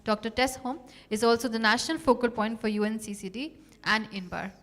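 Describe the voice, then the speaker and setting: A woman's voice: fairly high pitch, fairly even intonation, a little fast, fairly bright tone. A woman moderating a panel, giving formal introductions and sometimes searching for words. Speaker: session moderator.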